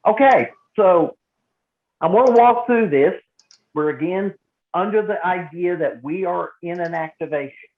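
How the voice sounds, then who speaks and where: A man giving an instructional talk to a group as a trainer, speaking emphatically and deliberately and repeating points for stress. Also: high-pitched. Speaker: emergency radio volunteer trainer. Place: indoor room, live training session. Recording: roomy echo, phone-like narrow band.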